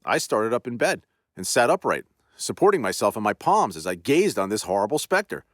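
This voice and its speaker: A man narrating a classic ghost story with solemn, deliberate delivery. His voice is on the low side and the recording is dry and close-miked, with no room echo. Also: sing-song. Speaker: podcast host and narrator.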